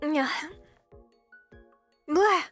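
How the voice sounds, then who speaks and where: Soft-spoken high-voiced young woman streamer. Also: extremely slow, soft background music. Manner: soft-spoken, chatty, giggly. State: slightly scratchy throat. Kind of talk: casual chat.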